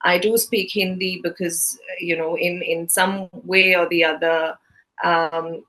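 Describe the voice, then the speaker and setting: A woman from southern India, a native Malayalam speaker with an Indian English accent, asking interview questions haltingly, with many fillers and restarts. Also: lowish voice, flat delivery, pushed and bright, rough recording.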